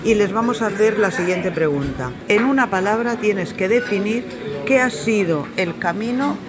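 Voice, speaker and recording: A woman's voice: medium pitch, normal speed, over music. Chatty woman vlogging outdoors. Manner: chatty, relaxed, with hesitant fillers. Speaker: vlogger walking the pilgrimage trail with her cousin. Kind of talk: casual travel vlog narration. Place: outdoors on a walking trail. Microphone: phone camera held while walking.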